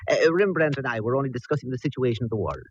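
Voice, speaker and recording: An actor playing a cheerful, flattering Irish used-car salesman with an Irish accent, in 1940s radio style. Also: mid-range voice, racing, lo-fi sound, slight background noise, natural voice. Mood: jovial blarney. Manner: flattering, garrulous. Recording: band-limited old radio recording.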